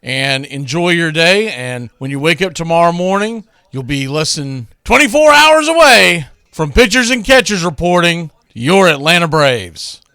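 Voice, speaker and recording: A man's voice: fairly high pitch, very animated, moderate pace, pushed and bright, clear, resonant tone, dry recording, clean studio sound. A man hosting a sports radio show, talking casually and conversationally in a folksy way. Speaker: radio show host and play-by-play announcer.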